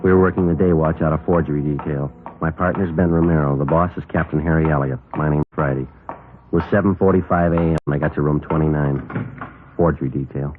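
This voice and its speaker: An actor playing a terse, deadpan male police sergeant in a 1950s radio crime drama, clipped and understated. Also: very deep voice, brisk, soft background music. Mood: flat, businesslike. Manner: clipped, understated, matter-of-fact. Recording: noisy, tinny 1950s radio recording.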